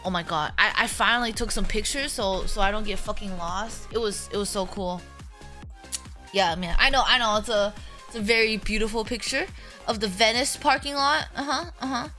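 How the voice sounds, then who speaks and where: A young woman streamer telling stories casually, chatty and a little crude, jumping between excited squeals and flat deadpan jokes. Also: medium pitch, moderate pace, soft background music. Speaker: VTuber streamer. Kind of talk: casual storytelling vlog.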